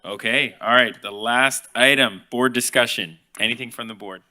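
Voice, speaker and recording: Bright, clear-voiced board chairman. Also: medium pitch, moderate pace. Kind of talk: chairing a public meeting. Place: city board meeting room.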